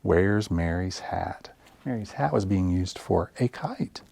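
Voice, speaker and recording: A man reading picture books aloud for children in an expressive, deliberate storytelling style. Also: sits low, creaky. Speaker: library story time reader. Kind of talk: animated picture-book narration for children.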